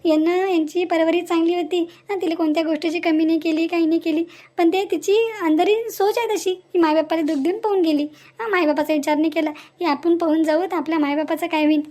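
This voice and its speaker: A woman's voice: extremely high pitch, fairly even intonation, fast, a little mellow. A woman voicing a worried, folksy village woman in a cartoon family drama, with a Varhadi Marathi accent and a plaintive manner. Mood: reproachful, sorrowful.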